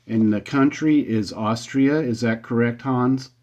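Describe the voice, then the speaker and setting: A man's voice: mid-range voice, unhurried, darkish tone. A man talking as an amateur radio operator, holding a conversational contact exchange. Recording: slightly dull, band-limited sound.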